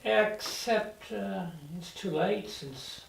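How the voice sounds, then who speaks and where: A woman playing a hesitant, eager male roommate in hell at a drama table read, explaining things in a halting way. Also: mid-range voice, creaky, exaggerated voice. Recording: roomy echo, distant mic, faint noise.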